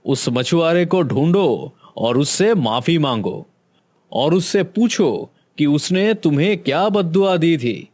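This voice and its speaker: A man's voice: a little high, lively intonation, slow pace, a little mellow. A man voicing a solemn male doctor giving grim advice in a Hindi cartoon. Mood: grave. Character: grave male village doctor.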